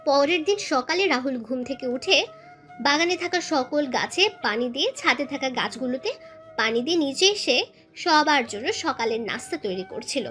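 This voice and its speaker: A woman's voice: high-pitched, lively intonation, normal speed, a bit bright. A woman narrating a scripted romantic family story in the third person. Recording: music bed under voice.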